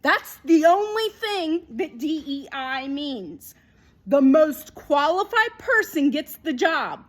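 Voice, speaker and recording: A woman giving an unscripted opinion monologue in an emphatic, repetitive, halting way. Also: sits high, very animated. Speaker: video commentator.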